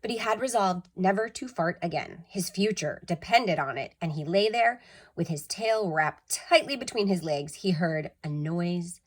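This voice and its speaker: A woman's voice: on the low side, animated, normal speed. A woman narrating a children's story expressively, with dramatic emphasis, like a school storytime reader.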